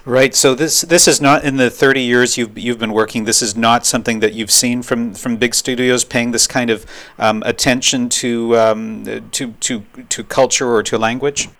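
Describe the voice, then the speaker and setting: A man's voice: mid-range voice, steady tone, normal speed, few pauses, a bit bright. A man interviewing a guest in a curious manner, often restarting his questions. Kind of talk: radio interview.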